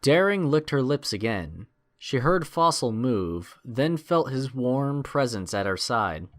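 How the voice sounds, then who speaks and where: Lively male story narrator. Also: medium pitch, takes it slowly. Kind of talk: scripted dramatic story narration.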